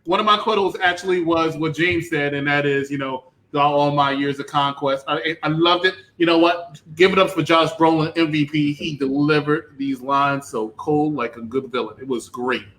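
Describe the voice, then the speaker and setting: A man with a bright, punchy voice that sits a little high. He talks casually as a film review panelist, bantering and offering opinions. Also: steady tone, moderate pace, few pauses, low-quality recording.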